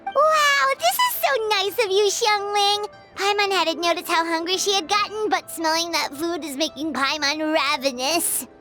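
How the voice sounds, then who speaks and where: A woman voicing a small, perky, childlike fairy girl in a video game, squeaky, curious and exclamatory. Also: unhurried, sharp tone, exaggerated voice. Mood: delighted, hungry. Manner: perky, exclamatory, speaks of herself in third person. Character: small chirpy floating fairy companion girl, curious, ever hungry. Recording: music behind the voice. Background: music.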